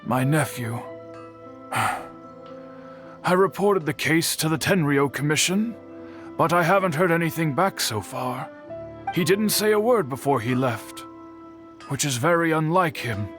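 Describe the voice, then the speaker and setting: An actor playing a courteous, solemn middle-aged swordsmith in a video game, speaking formally and earnestly. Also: male voice, a little high, lively intonation, unhurried, pauses often, fairly bright tone, faint music behind. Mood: troubled, resigned.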